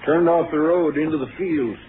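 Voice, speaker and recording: A man playing an excitable, folksy rural lawman sidekick in a 1950s radio western. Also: fairly high pitch, normal speed, background noise, lightly acted. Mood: excited. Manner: folksy, exclaiming. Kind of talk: 1950s radio drama acting. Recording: muffled vintage radio recording, music behind.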